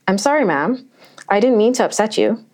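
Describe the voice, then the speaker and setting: A woman voicing an apologetic young masked male robber who talks in a rushed, pleading way. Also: medium pitch, very animated, darkish tone, voice pushed up, lightly acted. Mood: flustered. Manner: words tumbling out, pleading.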